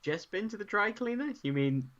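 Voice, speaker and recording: A man's voice: high-pitched, lively intonation, moderate pace, fairly bright tone. Teasing guy reading stream chat, bouncy voice, kinda dull sound. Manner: teasing, playful, breaks into bits of song. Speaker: co-host and brother. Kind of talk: casual chat on a live stream, reading chat comments.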